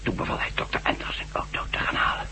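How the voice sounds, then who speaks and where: An actor playing a shaken, dazed doctor in a Dutch radio drama, halting and half-whispering as if weakened. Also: male voice, lightly acted. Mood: shaken, weak. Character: shaken male doctor, weakened and dazed after mind control.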